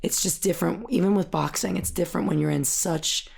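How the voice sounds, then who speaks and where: A woman's voice: lowish voice, brisk, creaky, a little mellow, a little hiss or hum. An American woman in her thirties chatting casually as a guest in an interview. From Bucks County, Pennsylvania, United States. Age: 30s. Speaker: podcast guest, fitness and gymnastics coach.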